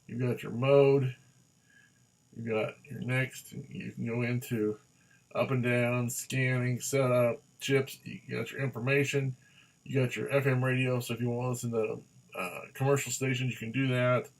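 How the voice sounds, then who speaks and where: Man giving a halting, casual gadget review. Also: mid-range voice, flat delivery, vocal fry, poor audio. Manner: halting, rambling, repeats himself. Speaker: product reviewer.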